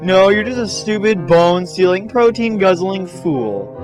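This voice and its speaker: A haughty, theatrical lady pony, acted out by a man, pitched up and given to indignant exclamations. She is melodramatic in a comic storybook way, with music behind her. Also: animated, measured pace, darkish tone. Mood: scornful.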